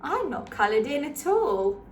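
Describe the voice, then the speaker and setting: A woman voicing a cheerful young princess, speaking high and excited. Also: measured pace, a little hiss or hum.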